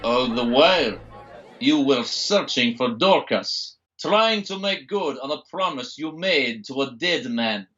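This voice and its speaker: A gloating villain doctor, slow and deliberate with theatrical swoops in his voice. He taunts with pompous relish, like a radio drama tormentor, over music in a slightly narrow recording. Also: mid-range voice, expressive, a bit bright, a faint crowd. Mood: taunting narration. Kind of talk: audio drama villain monologue.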